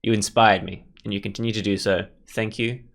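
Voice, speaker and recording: A male gaming host with a lowish voice, talking very quickly. He comments on a visual novel and reads its on-screen text aloud. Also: level tone, gravelly. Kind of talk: gameplay commentary, reading game text aloud.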